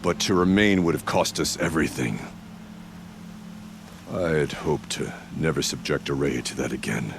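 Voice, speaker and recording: Super deep gravelly warrior chief, stern and solemn, game cutscene with music under it. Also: male voice, moderate pace. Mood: grim. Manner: solemn, terse, weighty formal phrasing. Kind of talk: dramatic video game acting.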